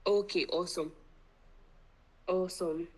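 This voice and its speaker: A Nigerian woman with a slightly low voice hosts a live virtual celebration. She talks effusively and playfully, piling on grand flourishes. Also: fairly even intonation, unhurried. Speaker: event host. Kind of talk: live virtual event hosting.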